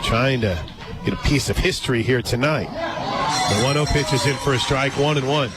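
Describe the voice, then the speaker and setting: A man's voice: mid-range voice, moderate pace. A man doing live radio play-by-play of a sports game, with conversational asides between calls. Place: outdoor ballpark radio booth. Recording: crowd and music behind the voice. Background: loud crowd, music.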